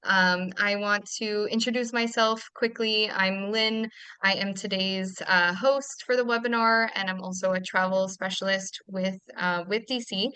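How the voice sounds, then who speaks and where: A woman's voice: medium pitch, sharp tone, clear, resonant tone, no room sound. A woman from Canada hosting a casual travel webinar, upbeat and chatty with many fillers. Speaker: webinar host.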